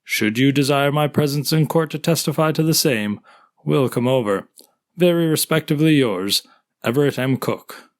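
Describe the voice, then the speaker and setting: A man playing a formal prosecuting attorney who reads aloud deliberately in a trial reenactment. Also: on the high side, lightly acted. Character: male prosecuting attorney reading a letter, formal. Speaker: actor reading a lawyer's part.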